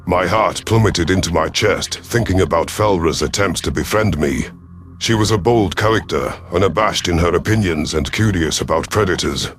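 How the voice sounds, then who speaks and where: A man voicing a proud, vengeful elderly alien reptilian commander in an audio drama, speaking in a formal, measured, sardonic way. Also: low voice, over music, lightly acted. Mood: brooding. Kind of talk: audio drama first-person narration and dialogue.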